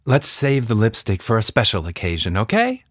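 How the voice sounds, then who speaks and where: A man's voice: lowish voice, wildly swooping pitch, normal speed, fairly bright tone, dry recording, studio quality. A man voicing a harried but patient mother of young children in a children's story.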